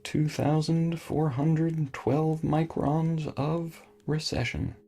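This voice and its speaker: An actor playing an earnest, melancholy scientist who speaks haltingly and formally in a science fiction audio drama. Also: male voice, a little high, level tone, mellow. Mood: resigned. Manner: halting, deliberate, formal wording. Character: male scientist, earnest, melancholy, cerebral, given to theorizing.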